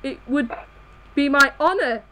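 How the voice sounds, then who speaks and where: A woman's voice: high voice, a little slow, noisy background. A woman reading fan fiction aloud live, stumbling over the text as she goes. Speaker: reader in a group comedy reading.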